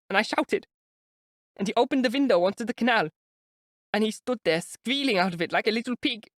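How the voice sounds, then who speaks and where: A reader voicing an excitable, indignant German landlady with a German accent, rattling out her complaints. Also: medium pitch, no echo, clean studio sound, voice pushed up, lightly acted. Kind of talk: audiobook character voice.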